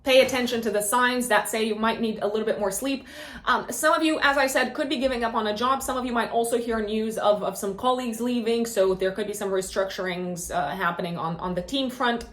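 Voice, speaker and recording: A woman's voice: on the high side, brisk. A woman who is a native Romanian speaker, chatting casually and playfully as an astrologer giving a horoscope, thinking aloud as she goes. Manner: informal and playful, thinks aloud with repeated words. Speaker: astrologer and host. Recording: echoey, reverberant room.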